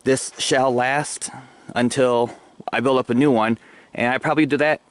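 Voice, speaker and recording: An American man giving casual, halting commentary as he thinks aloud, recorded outdoors on a handheld camera. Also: medium pitch, steady tone, dry recording. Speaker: gardening vlogger. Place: outdoors in a snowy fenced backyard. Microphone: handheld camera at arm's length. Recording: clear outdoor background noise.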